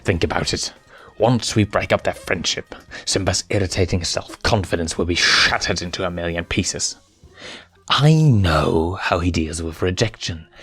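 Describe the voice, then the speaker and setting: A man voicing a cruel adult male lion villain, threatening in a slow, drawn-out way. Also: sits low, a bit bright, breathy edge, dry recording, heavily acted. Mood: enraged, contemptuous.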